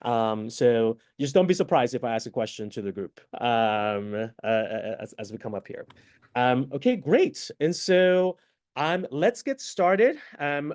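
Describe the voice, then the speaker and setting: A man's voice: medium pitch, a little mellow. A man from Venezuela leading an online training workshop over a video call, upbeat and interactive in manner. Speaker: workshop facilitator.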